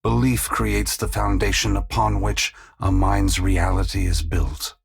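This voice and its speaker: An ancient sun god in a fantasy game, with a very low, heavily creaking voice. He is solemn, compassionate and resolute. Every phrase is formal and measured, delivered at a slow, unhurried pace. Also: level tone, heavily acted. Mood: solemn, explanatory. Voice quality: gravelly, husky. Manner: grave, measured, formal, oracular. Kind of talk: fantasy video game voice acting.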